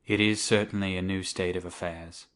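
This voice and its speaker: A man playing a nervous, cautious middle-aged doctor in an audiobook dramatic reading, speaking in a measured, formal way. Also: low voice, fairly even intonation, fairly bright tone. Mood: thoughtful.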